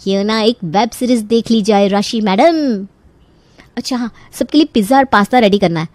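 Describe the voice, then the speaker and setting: A woman playing a carefree, overconfident young daughter-in-law in an animated story, with a playful, breezy, cheeky manner. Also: mid-range voice, moderate pace, dry recording, lightly acted. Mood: gleeful. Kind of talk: Hindi animated story voice acting.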